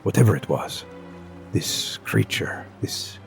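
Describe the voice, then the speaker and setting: An actor playing a grave, brooding naturalist, narrating an audio drama in solemn, measured phrases with portentous pauses. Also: male voice, deep voice, lively intonation, gravelly, soft background music, full character voice. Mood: ominous.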